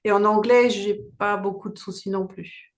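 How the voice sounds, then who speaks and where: A woman's voice: mid-range voice, steady tone, moderate pace. A woman whose first language is German asks questions on a coaching call, hesitating and repeating words as she starts her sentences. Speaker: coaching client.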